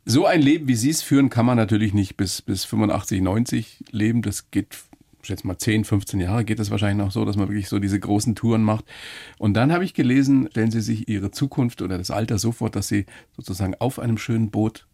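A man around sixty hosting a radio interview, friendly and quick to laugh, asking follow-up questions. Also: fairly low pitch, mellow, slightly rough. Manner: friendly, laughs easily, asks follow-ups. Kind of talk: conversational interview.

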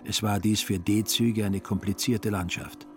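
A man narrating a literary radio play in a measured, ironic storytelling manner. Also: fairly low pitch, steady tone, a little mellow.

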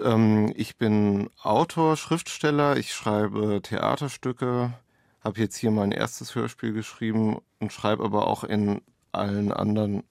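Hesitant lower-voiced man in an interview. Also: vocal fry, a little mellow, no room sound, clean studio sound. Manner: hesitant, searching phrasing. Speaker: author and playwright. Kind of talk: interview conversation about own work.

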